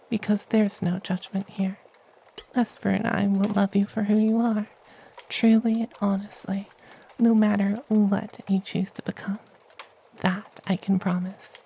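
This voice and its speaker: A performer voicing a tender, powerful femboy witch in a soft, partly whispered ASMR fantasy roleplay. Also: mid-range voice, vocal fry, slight background noise, poor audio. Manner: hushed, partly whispered, slow and soothing.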